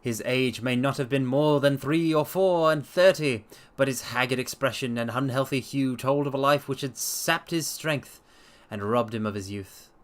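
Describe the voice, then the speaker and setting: A man streaming a dramatic story reading, with theatrical, expressive delivery and casual asides. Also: medium pitch, normal speed, few pauses. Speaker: streamer and reader.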